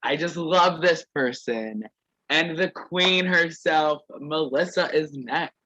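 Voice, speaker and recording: A man hosts an online game over a video call, calling each player in turn with a sassy, playful flair. He talks slowly in a bright, tense voice. The call audio is dry and a little dull. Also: fairly high pitch, no room sound. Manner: playful, sassy catchphrase "period". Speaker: host of an online reality game. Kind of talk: casual video call introductions.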